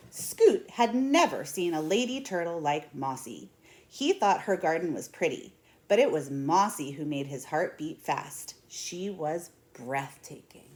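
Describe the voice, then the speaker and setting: A woman in her thirties reading a picture book aloud in an expressive, deliberate way. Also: low voice. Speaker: read-aloud storyteller. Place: small indoor room at home.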